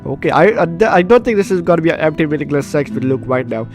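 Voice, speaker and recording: A man's voice: on the high side, lively intonation, fast talker, a little mellow. Man playing and narrating a game, music behind. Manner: stumbling, repeats and rereads phrases. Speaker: gaming video host. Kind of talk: casual let's play, reading game text aloud with commentary.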